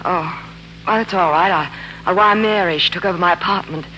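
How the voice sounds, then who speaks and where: A woman's voice: on the low side, a little fast, pushed and bright. An actress playing a spirited, independent woman, tender but firm, direct and emotional in 1940s radio drama style. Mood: bright, affectionate. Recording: noisy, humming 1940s radio recording.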